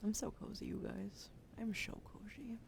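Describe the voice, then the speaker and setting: A sleepy woman streaming ASMR with a sore throat, switching between soft whispers and casual chat about games into a close microphone. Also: on the high side, moderate pace, creaky. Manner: soft whispering between chatty gaming talk. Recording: noisy stream audio.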